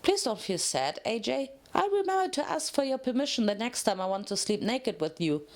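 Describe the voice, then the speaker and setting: A woman's voice: fairly high pitch, sing-song, normal speed. A woman voicing a cheerful, chatty, naive pink pony inmate in a dramatic story reading. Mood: perky, chatty. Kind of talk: dramatic fan fiction reading.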